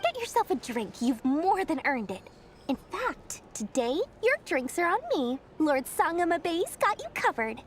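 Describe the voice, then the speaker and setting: A woman's voice: high voice, big pitch swings, measured pace, a little hiss or hum. A woman voicing a small, sassy, self-important but friendly merchant girl in a video game. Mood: generous, boastful. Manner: self-important, playful, theatrical. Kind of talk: video game character acting. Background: game music.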